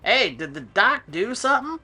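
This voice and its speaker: A man's voice: very high voice, very animated, normal speed, sharp tone, breathy edge, soft background music, pitched above the performer's own voice, full character voice. A man voicing a lonely, crude, self-pitying old security guard in a video game. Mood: grumbling, self-pitying.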